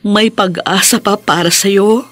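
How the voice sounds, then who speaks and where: A woman's voice: medium pitch, fairly even intonation, fairly bright tone, dry recording, natural voice. An actress playing a steadfast, loving wife and mother in an earnest, emotional Filipino radio drama. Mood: tearful resolve. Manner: earnest, emotional, measured phrases. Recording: music behind the voice.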